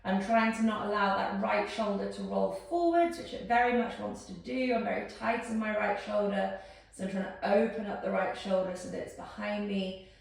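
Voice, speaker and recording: Woman, fitness instructor, calm cueing, slight fry, recorded off-mic in a very echoey room. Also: medium pitch, moderate pace, distant mic. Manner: calm step-by-step cueing, counting down. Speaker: posture instructor. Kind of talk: guided exercise instruction.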